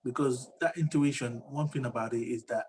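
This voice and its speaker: A man's voice: medium pitch, fairly even intonation, brisk, background noise. A man co-hosting a motivational talk show, speaking earnestly and encouragingly with frequent "you know". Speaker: podcast co-host.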